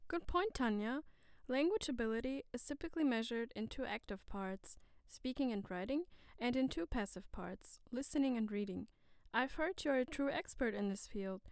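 A woman's voice: fairly high pitch, moderate pace, dry recording. A woman from Germany co-presenting a scripted educational dialogue in an articulate, formal, explanatory way. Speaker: co-presenter in a discussion on bilingualism.

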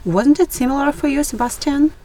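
Young woman reading calmly. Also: high voice, a little fast. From Russia. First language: Russian. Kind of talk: read-aloud scripted conversation.

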